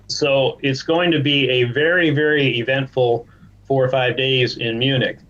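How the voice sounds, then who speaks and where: A man's voice: medium pitch, fairly even intonation, measured pace, fairly bright tone. Guy talking as a podcast guest, exec type, conversational, kinda muffled lo-fi sound. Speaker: podcast guest, trade association chief executive. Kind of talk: conversational interview talk.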